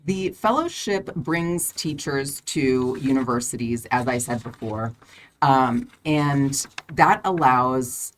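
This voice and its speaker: A woman from the United States, a visiting English teacher, answering podcast questions in a friendly, halting way with many fillers and frequent laughs. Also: extremely low pitch, expressive, a little hiss or hum.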